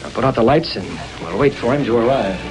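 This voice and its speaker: Male actor as commanding American masked crimefighter; crisp, mid-pitched, very animated delivery, vintage radio serial. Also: fast, lightly acted, poor audio. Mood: brisk, decisive. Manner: crisp, authoritative. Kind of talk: 1930s radio adventure acting.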